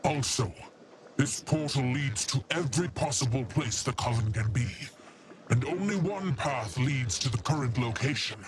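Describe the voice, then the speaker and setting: An actor playing a stern, wise old witch matron in a fantasy game, speaking in a measured, formal way. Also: medium pitch, lively intonation, natural voice. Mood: stern warning. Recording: noisy ambience behind the voice. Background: game ambience noise.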